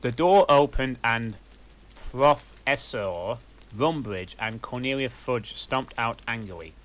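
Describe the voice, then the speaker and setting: A man reading a fanfic aloud slowly and deadpan, breaking off for exasperated, cursing asides. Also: mid-range voice. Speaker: reader.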